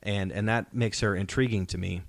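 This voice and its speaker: Low-voiced man hosting a podcast. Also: steady tone, normal speed, creaky, no echo, clean studio sound. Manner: explanatory, poses rhetorical questions. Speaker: podcast host. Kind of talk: casual pop-culture discussion. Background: soundtrack music playing faintly.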